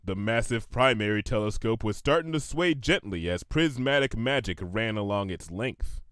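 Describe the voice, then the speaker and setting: A man reading a comic story aloud in an expressive, scripted narration style. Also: lowish voice, normal speed, smooth, dry recording, clean studio sound. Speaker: narrator, fan fiction reader.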